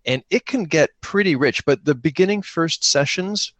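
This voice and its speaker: A man who podcasts about solo tabletop gaming, chatting casually and explaining in an animated way, repeating phrases for emphasis. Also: on the high side, normal speed, no echo.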